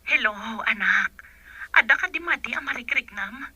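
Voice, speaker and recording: A woman's voice: high voice, lively intonation, normal speed, pushed and bright, slightly rough, no echo, exaggerated voice. A woman playing a worried middle-aged mother in a radio drama, with a Filipino accent. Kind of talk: Ilocano radio drama acting.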